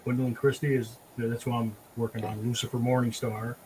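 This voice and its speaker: A man hosting a relaxed, casual group chat about pop culture. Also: medium pitch, fairly quick, background noise. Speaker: host of a drink and draw show.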